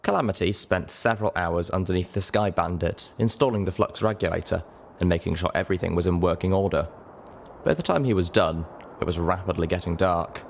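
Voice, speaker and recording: A man reading a story aloud in expressive scripted narration. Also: low-pitched, a little fast, vocal fry. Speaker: audiobook narrator.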